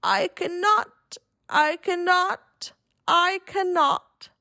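A woman's voice: extremely high pitch, very slow, pushed and bright, no room sound, clean studio sound, pitched above the performer's own voice, heavily acted. A woman voicing a very old, rusty, tired engine in a sighing, mournful manner for a storybook. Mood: weary, mournful. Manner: sighing, weary, dragging, mournful.

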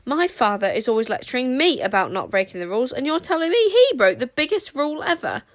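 A woman's voice: high-pitched, very animated, fast, pitched above the performer's own voice. A woman voicing a proud, scornful boy who speaks with disgust.

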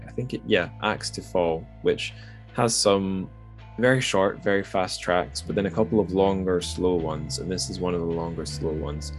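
A man hosting a podcast in a casual, conversational style, informally thinking aloud as he goes. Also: low-pitched. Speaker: podcast host.

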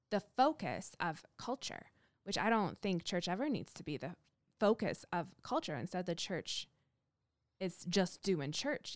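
A woman's voice: fairly low pitch, no echo, studio quality. A woman pastor who grew up in Canada, speaking casually in a panel discussion with light self-deprecating humor.

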